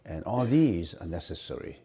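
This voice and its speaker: A man with a Cantonese mother tongue teaches Buddhism in an unscripted talk, his voice deep and dark in tone. He pauses often, repeats words and fills gaps while he searches for the next phrase. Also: very animated, husky. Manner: halting, many fillers and restarts.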